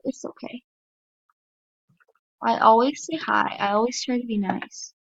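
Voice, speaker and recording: Slow, tired young woman gaming. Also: fairly high pitch, creaky, no echo. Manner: soft, hesitant, many ums, apologetic. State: tired, dry throat. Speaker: gaming channel host. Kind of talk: casual game commentary. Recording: dull lo-fi mic.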